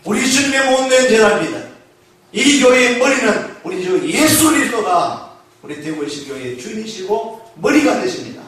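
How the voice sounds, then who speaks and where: A male pastor preaching a Sunday sermon, asking the congregation questions and slowing down to stress key phrases. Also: high-pitched, big pitch swings, a bit bright. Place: church sanctuary. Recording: echoey church hall, mic far off.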